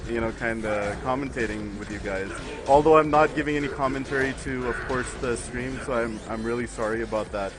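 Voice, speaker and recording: A young man from the United States chatting loosely as a guest commentator on a fighting game stream, with lots of fillers and frequent laughs. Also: medium pitch. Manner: rambling, laughs often, many fillers. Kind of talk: casual chat with stream chat.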